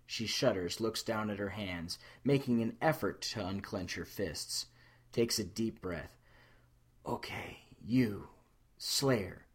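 A man's voice: lowish voice, unhurried, slightly rough. A man reading fiction aloud as a dramatic, scripted narration. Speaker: story reader.

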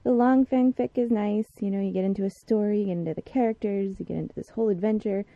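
A woman's voice: mid-range voice, fairly even intonation, quick pace, no room sound, highs cut off. A woman hosting a podcast, giving a casual spoken introduction. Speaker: podcast host.

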